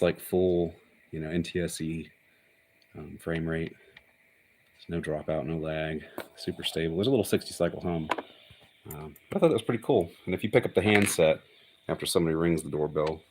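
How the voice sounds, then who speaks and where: A man with an extremely low-pitched voice presents a piece of hobby electronics in a casual, unscripted way. He explains technical details plainly and matter-of-factly, as in an informal demonstration. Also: a little mellow, a little hiss or hum.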